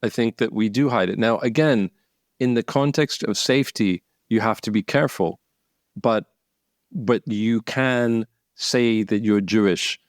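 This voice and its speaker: Blunt guy with a gravelly voice, cusses a lot, dry close sound. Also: fairly low pitch, a little slow, no room sound. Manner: blunt, profane, emphatic. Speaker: guest speaker. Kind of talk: conversational interview.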